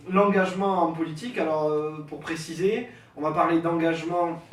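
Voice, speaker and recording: A young man hosting a casual podcast, hesitant, with many fillers and restarts, and stuffy from a head cold. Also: a little high. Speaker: podcast host. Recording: echoey room, mic at a distance, faint noise.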